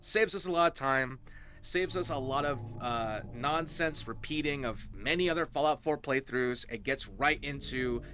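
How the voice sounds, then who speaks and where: A man's voice: mid-range voice, moderate pace, sharp tone, soft background music. A man giving casual, explanatory game commentary in an informal, emphatic manner, as host of a roleplay series.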